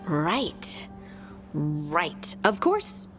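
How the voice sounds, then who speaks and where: An actress playing an eager, easily flustered young woman adventurer in a video game, earnest and excitable, halting when shy. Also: deep voice, full character voice. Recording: game music behind the voice. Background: game soundtrack music.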